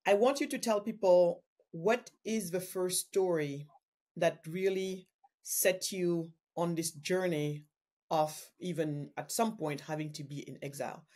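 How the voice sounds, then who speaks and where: A woman's voice: on the low side, fairly even intonation, a little slow, darkish tone. A woman hosting an interview, asking earnest, searching questions and restarting her phrasing as she goes. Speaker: host.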